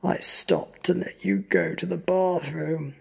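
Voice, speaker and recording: Someone voicing a stammering, frightened henchman, husky and strained. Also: mid-range voice, steady tone, voice pushed up, heavily acted. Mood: pleading. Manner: stuttering, halting, strained. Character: frightened, injured henchman named Slim, stammering and gasping.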